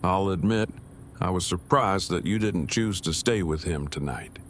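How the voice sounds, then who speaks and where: Actor as devoted man, verbose, precise formal phrasing, lowish pitch, audio drama, faint noise. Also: normal speed, natural voice. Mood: sympathetic, earnest. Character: devoted, formal, wordy gentle man, a romantic partner.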